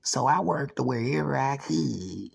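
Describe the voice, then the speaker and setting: A man in his thirties talking in a rambling personal monologue, filling every pause with "you know.". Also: medium pitch, animated, creaky, slightly rough, no echo. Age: 30s.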